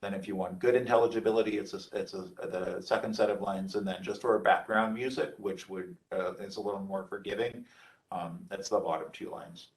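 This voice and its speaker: A man with a fairly low voice leads an informal technical training session. He sits a little back from the microphone. His delivery is halting, with frequent hesitant pauses as he explains. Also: steady tone, distant mic. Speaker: trainer presenting product training. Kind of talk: informal technical lecture over slides.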